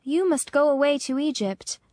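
A woman playing a noble, sorrowful statue prince in a storybook, speaking gently, pleadingly and in measured phrases. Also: high voice, expressive, dry recording, studio quality. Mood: solemn, tender. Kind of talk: acted storybook dialogue.

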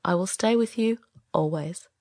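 A woman's voice: fairly low pitch, normal speed, a little mellow, dry recording, clean studio sound, close to an everyday voice. A woman playing a small, good-hearted male swallow in a storybook, speaking earnestly and expressively. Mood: devoted, tender. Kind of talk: acted storybook dialogue.

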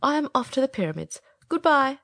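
A woman voicing a small, vain, impatient male swallow from a storybook, brisk and opinionated. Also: high voice, very animated, fairly bright tone, no room sound, studio quality, voice pushed up, full character voice. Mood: haughty, indignant. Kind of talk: storybook character reading.

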